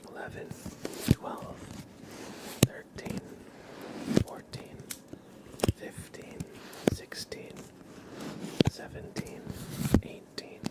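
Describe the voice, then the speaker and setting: Male ASMR host: low, hushed whisper, very slow pacing, gentle direct address. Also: rough recording. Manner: gentle, unhurried delivery addressing listeners directly.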